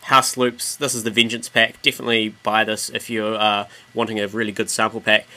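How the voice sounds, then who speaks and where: A man giving a casual, informal software tutorial as a music production tutor. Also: fairly low pitch, flat delivery, brisk. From New Zealand. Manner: informal, mildly sweary. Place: indoors, voice-over on screen recording.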